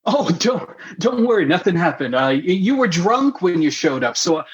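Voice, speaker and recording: A man's voice: high-pitched, sing-song, moderate pace, muffled recording, slight background noise. An actor playing a flustered, apologetic man who stammers and rambles in a comedy radio play. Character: flustered, apologetic man anxiously explaining himself.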